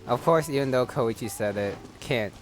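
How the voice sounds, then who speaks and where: A man's voice: medium pitch, normal speed, slight background noise. A man giving casual, offhand commentary as a gamer.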